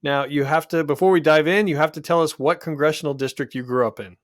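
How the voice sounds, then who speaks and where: A man from the Pacific Northwest hosting a political podcast, talking in a measured, analytical way with frequent restarts. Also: a little high, no echo, studio quality.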